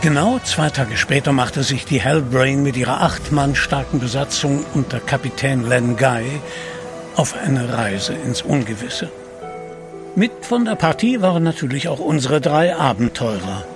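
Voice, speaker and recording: A man's voice: medium pitch, lively intonation, measured pace. A man narrating a scripted sea adventure audio drama as its storyteller. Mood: storytelling. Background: music behind the voice.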